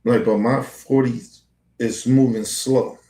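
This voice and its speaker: A man in his forties holds forth as a podcast host, rambling and circling back on himself in profane street slang. His voice sits at an ordinary middle pitch and carries a noticeable echo from the room around him. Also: fairly even intonation, slow, warm tone. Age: 40s. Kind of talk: casual monologue to camera.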